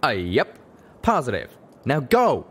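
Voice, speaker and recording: Man doing a raised-pitch gunslinger pony. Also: huge pitch swings, slow, a bit bright, breathy edge, full character voice. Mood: assuring. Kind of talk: storybook character acting.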